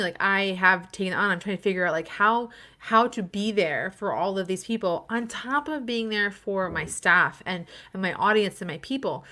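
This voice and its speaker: A woman's voice: fairly low pitch, sharp tone. A woman hosting a panel discussion, earnest and open as she talks about her own struggles. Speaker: panel host.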